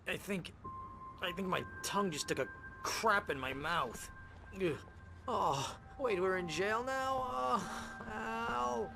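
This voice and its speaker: An actor playing a whiny, flustered, hungover comic sidekick in a thriller game. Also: male voice, sits high, slow, vocal fry, exaggerated voice. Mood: groggy, miserable. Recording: music behind voice.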